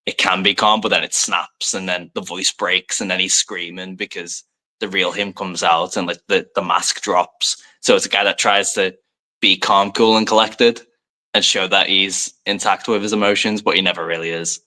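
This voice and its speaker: Young man, from Liverpool, very low voice, bright tone, soft English accent, rambling casual guest chat. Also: fairly even intonation, normal speed. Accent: soft English, light Americanisms. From Liverpool, England. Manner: rambling, many fillers, self-deprecating. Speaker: professional wrestler, guest. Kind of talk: casual podcast interview.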